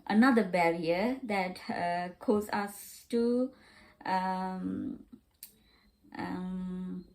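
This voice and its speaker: A woman learning English as a second language, talking casually in a vlog, pausing with many fillers and repeating herself. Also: medium pitch, dark tone, low-quality recording. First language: not English.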